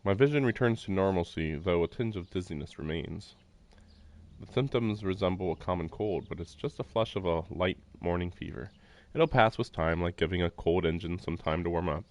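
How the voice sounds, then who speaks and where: A man's voice: deep voice, normal speed, darkish tone. A man live streaming a visual novel, reading its text aloud with casual, informal commentary. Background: faint game music.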